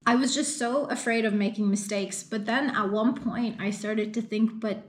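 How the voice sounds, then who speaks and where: A woman's voice: on the high side, fairly even intonation, moderate pace, slight background noise. Chatty woman answering viewer questions. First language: English. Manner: earnest and chatty, with fillers and repeated phrases. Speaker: content creator. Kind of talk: casual Q&A vlog talk.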